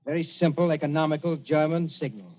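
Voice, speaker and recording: Guy acting sardonic british governor type, unflustered n dry, slightly high voice, muffled old radio drama. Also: a little fast, lightly acted. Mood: accusing, sardonic. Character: cool, sardonic British colonial governor facing down villains. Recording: dull old radio recording.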